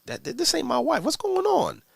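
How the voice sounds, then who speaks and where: A man's voice: extremely high pitch, wildly swooping pitch, moderate pace, gravelly, no room sound, voice pushed up, full character voice. A man voicing a baffled husband who exclaims in street slang about his changed wife. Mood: amazed.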